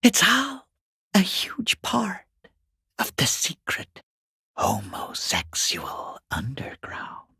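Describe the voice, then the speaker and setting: A man voicing a foul-mouthed, sarcastic watcher in a video game, taunting in a rough, breathy, creaky half-whisper. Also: mid-range voice, huge pitch swings, pushed and bright, dry recording, studio quality, exaggerated voice. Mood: mocking exasperation. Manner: sarcastic, taunting, drawn-out mockery. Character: sneering, foul-mouthed watcher peeking through a harbour gate gap. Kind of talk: video game character voice acting.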